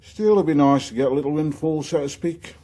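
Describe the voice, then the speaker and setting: A man's voice: on the high side, fairly quick, mellow, natural voice. An actor in a radio drama playing a nosy working man who talks in a colloquial, insinuating way. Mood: teasing.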